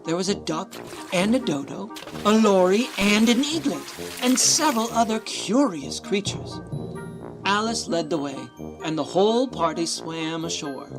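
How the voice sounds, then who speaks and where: A woman's voice: medium pitch, expressive, unhurried, music in the background. A woman reading scripted storybook narration as the narrator of a radio play.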